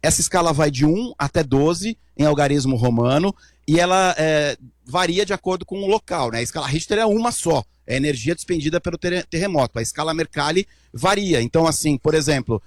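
A man's voice: fairly high pitch, expressive, a little fast, no room sound. A dry-voiced man reporting from abroad. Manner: explanatory, informal, with fillers. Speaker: correspondent.

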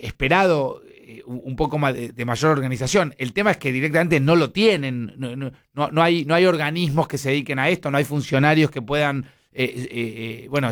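A man's voice: on the high side, fairly bright tone. A man with an Argentine accent hosting a live news interview, speaking with many fillers and restarts. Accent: Argentine Spanish. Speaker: radio news host.

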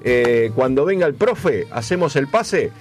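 A man's voice: mid-range voice, big pitch swings, measured pace, darkish tone, soft background music. A man with an Argentine accent hosting a radio show in casual chat, rambling with lots of fillers and repeated words. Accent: Argentine Spanish. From Buenos Aires, Argentina. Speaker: radio host.

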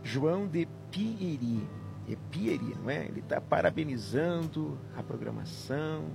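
Gravelly-voiced pastor hosting night radio. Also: male voice, on the high side, expressive. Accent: southern Brazilian. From Paraná, Brazil. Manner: gentle, prayerful, unhurried. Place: radio studio with music playing. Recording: music bed under the voice.

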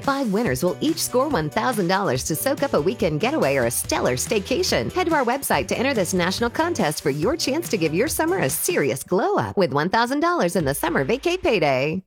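Female ad read, animated and bubbly. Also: medium pitch, a little fast, hardly any pauses, faint music behind. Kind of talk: contest ad read.

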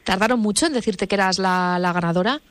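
A woman hosts a radio interview, reading her introduction and asking questions in a clear, composed way. Her voice sits at a middle pitch and sounds dry and close to the microphone. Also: fast talker, dry recording.

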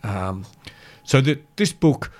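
A man who is a guest land carer, speaking earnestly in a radio studio, halting and restarting his sentences. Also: medium pitch, animated. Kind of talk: radio interview talk.

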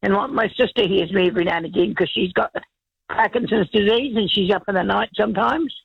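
A New woman rings in to talk radio, her voice croaky and gravelly with a breathy rasp. She chats in loose, repetitive restarts. The call comes through a dull, lo-fi phone line. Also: medium pitch, quick pace. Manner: chatty, repeats herself with restarts. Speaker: caller. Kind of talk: casual radio call-in chat. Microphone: telephone.

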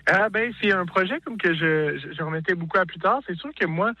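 A Québécois man speaks as an interview guest, his slightly high voice stumbling through restarts and fillers. The line is dry and dull, with the top end muffled. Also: brisk, sharp tone, dry recording. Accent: Québécois French. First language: French. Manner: hesitant, many restarts and fillers. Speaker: singer-songwriter guest. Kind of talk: casual interview.

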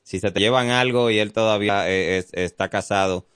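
A man with a Dominican accent, a police officer guest explaining the law on a radio show in a calm way with many fillers. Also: on the low side, fairly quick, smooth, no room sound, studio quality. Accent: Dominican Spanish. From Dominican Republic. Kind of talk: informative radio interview. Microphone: studio mic.

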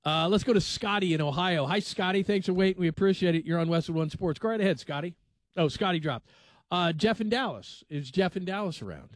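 A man's voice: sits high, expressive, brisk, no echo. A man hosting a sports call-in radio show from a studio, talking loosely and going off on tangents. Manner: loose, rambling, laughs easily. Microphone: studio microphone.

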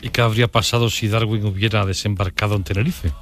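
A man's voice: on the low side, steady tone, fast talker, fairly bright tone. A man, a radio host giving formal introductions and asking questions. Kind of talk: radio interview.

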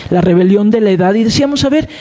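A woman's voice: mid-range voice, lively intonation, rapid-fire, warm tone, long reverb tail. A Mexican woman in her fifties hosting a broadcast interview, talking conversationally with fillers and repeated words. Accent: Mexican Spanish. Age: 50s. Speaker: host.

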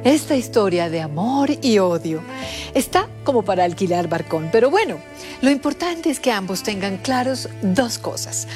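A woman's voice: mid-range voice, sing-song, moderate pace, a little mellow, lightly acted. A woman playing a syrupy, theatrical love-advice columnist with a Colombian accent in a comedy radio sketch. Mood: gushing, sympathetic. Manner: gushing, theatrical. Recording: music playing behind the voice. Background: music.

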